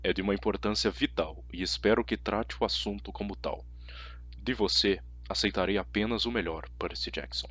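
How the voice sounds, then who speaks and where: Casting: grave, demanding male schoolteacher, voiced by a narrator, low and measured, formal diction. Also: fairly bright tone, dull audio. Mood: grave, insistent. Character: stern, measured male Latin teacher, quietly demanding. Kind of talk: audiobook character voice.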